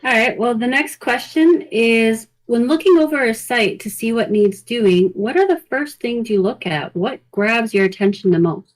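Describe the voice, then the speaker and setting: A woman's voice: fairly high pitch, lively intonation, moderate pace, low-quality recording. A woman co-hosting a casual podcast, putting questions and giving short listening responses. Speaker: co-host asking questions.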